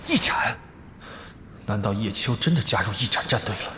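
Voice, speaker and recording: Flustered guild leader, bright tense tone, lively pitch swings, blustering, audio drama. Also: male voice, moderate pace, slight background noise. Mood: alarmed. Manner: agitated, forceful. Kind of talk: Chinese audio drama acting.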